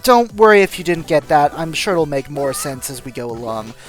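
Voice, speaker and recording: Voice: mid-range voice, sing-song, brisk, soft background music. A narrator reading a scripted video game review as the host, with dry, self-deprecating humour.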